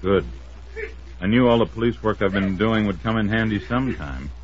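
Low, calm police-trained ally. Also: male voice, moderate pace, breathy edge. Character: calm, matter-of-fact male ally with police experience. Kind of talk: 1950s radio detective drama acting. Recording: noisy, muffled old radio recording.

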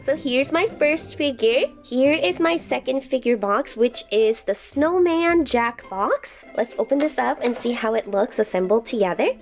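A woman's voice: fairly high pitch, lively intonation, moderate pace, few pauses, faint music behind. A woman unboxing toy figures in a casual vlog, gushing and repeating words for emphasis while she talks through a lingering flu. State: recovering from flu, breathing heavily.

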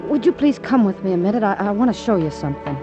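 Actress as a quiet, polite widow in a 1950s radio thriller, medium pitch, muffled old sound. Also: female voice, very animated, fast, lightly acted. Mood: subdued, composed. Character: soft-spoken, composed newly widowed wife. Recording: dull old radio recording. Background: music.

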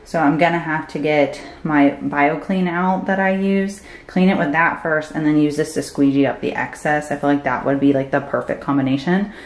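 Low-voiced chatty woman vlogger. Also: fairly even intonation, normal speed, few pauses, roomy sound. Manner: chatty, lots of "like" fillers. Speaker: cleaning vlogger. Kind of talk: casual voice-over vlog narration.

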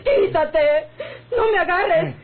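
Defiant Mexican peasant wife, very shrill pitch. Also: female voice, slow, vocal fry, crowd noise, exaggerated voice. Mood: hurt, threatening. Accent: rural northern Mexican. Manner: plaintive, rustic folksy speech. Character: defiant, aggrieved rural peasant wife, sharp-tongued. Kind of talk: old Mexican radio drama acting. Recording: tinny, band-limited old radio serial.

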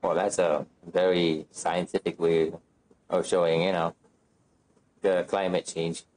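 A man's voice: deep voice, level tone, drawn-out words, darkish tone, a little hiss or hum, low-quality recording. A man chatting casually on a game livestream in a laid-back, offhand way. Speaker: game streamer.

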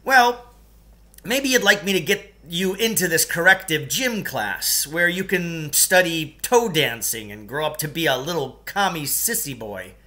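A sneering, overbearing gym teacher, put on by a man reading a story. He is loud and pitched up, with a sharp, forceful edge. His manner is sarcastic and belittling. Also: animated, measured pace.

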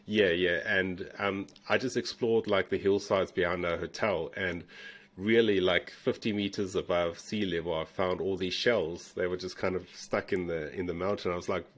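A middle-aged man from South Africa with a South African accent blended with a New Zealand one, telling stories in a relaxed, rambling way on a video call. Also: deep voice, normal speed. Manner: relaxed, rambling with fillers and restarts. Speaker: guest, fossil hunter. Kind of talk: casual storytelling interview. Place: home room.

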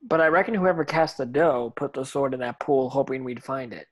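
A man's voice: mid-range voice, steady tone, brisk, natural voice. Man reading earnest, urgent teenage hero. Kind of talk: amateur screenplay table read.

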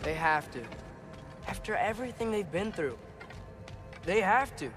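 An actor playing an earnest, hopeful teenage warrior son in a video game, speaking in an eager, conversational way. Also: male voice, sits high, expressive, a little slow. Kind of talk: video game drama acting. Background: loud music.

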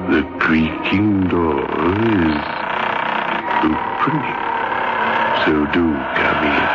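Very deep, slow horror host. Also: male voice, vocal fry, full character voice. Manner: slow, drawn-out, mock-polite. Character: sinister, genial male horror-show host, ghoulishly wry. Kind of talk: old-time radio horror acting. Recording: dull old radio recording.

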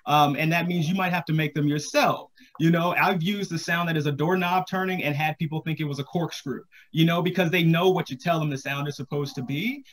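A man's voice: a little high, fairly even intonation, fairly quick, few pauses. A man in his thirties explaining things casually as a panelist on a video call, in a friendly advising way. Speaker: panelist, audio drama podcaster. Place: room at home, video call. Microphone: headset on a video call.

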